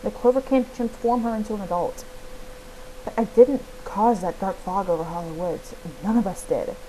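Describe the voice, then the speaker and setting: A woman's voice: mid-range voice, moderate pace, mellow, lightly acted. Woman as an earnest young heroine rider. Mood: earnest, explaining. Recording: clearly noisy recording.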